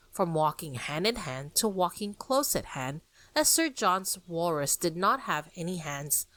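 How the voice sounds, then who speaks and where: A woman hosting a podcast, reading a scripted narration on art history in a lively, explanatory way. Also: fairly low pitch, dry recording. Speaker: podcast host.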